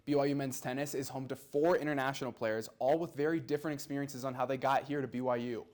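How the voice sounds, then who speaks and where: A man anchoring a student news show with a brisk, even read. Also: medium pitch.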